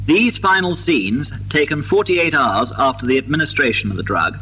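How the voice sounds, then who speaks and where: A British man delivering formal, precise, measured scripted narration for a 1950s documentary, on an old film soundtrack. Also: a little high, animated, sharp tone, slight background noise, rough recording.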